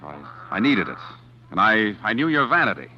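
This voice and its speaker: An actor playing a bitter, scheming estranged husband in a 1940s radio mystery, speaking in a halting, brooding way. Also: male voice, lowish voice, big pitch swings, a little hiss or hum. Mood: bitter, needling. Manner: hesitant, brooding, with halting repeated words. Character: bitter, scheming estranged husband narrating his own tale. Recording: dull 1940s radio recording with music.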